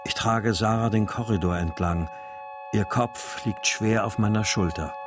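A man's voice: fairly low pitch, measured pace, over music, lightly acted. An actor narrating a radio crime drama in the first person as a grave father recounting his own ordeal. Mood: tense, grave.

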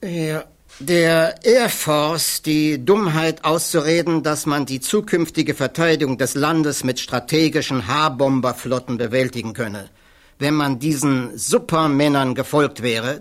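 An actor playing an irreverent, blunt physics professor giving testimony in a radio play, wry and outspoken. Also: male voice, medium pitch, unhurried, natural voice. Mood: sardonic. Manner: wry, outspoken, swears casually.

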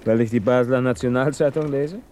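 A man's voice: medium pitch, brisk, a little mellow, close to an everyday voice. A man acting a guarded, weary émigré scientist in a German radio play, speaking in a dry, reserved way. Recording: slight background noise.

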